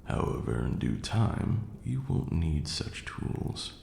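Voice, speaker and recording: A man playing an ancient vampire lord in an intimate ASMR roleplay, haughty yet tender, speaking formally and with measured care in a deep, gravelly murmur. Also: big pitch swings, a little mellow, full character voice. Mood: amused, patient. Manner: measured, formal archaic diction, condescending yet doting. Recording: roomy echo, faint background noise.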